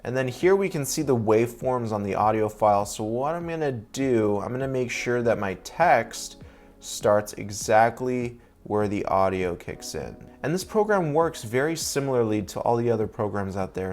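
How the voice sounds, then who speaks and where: A man's voice: mid-range voice, animated, moderate pace, a little mellow, faint music behind. A young man hosting a sponsored video-editing tutorial, explaining each step in an upbeat, casual way. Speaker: video editor and tutorial host. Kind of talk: sponsored software tutorial walkthrough.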